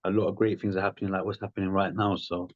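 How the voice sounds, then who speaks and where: A man's voice: deep voice, flat delivery, rapid-fire, no room sound, muffled recording. A man in his thirties, a podcast guest, talking frankly in loose, rambling phrases with plenty of "um" and "like". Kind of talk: conversational interview.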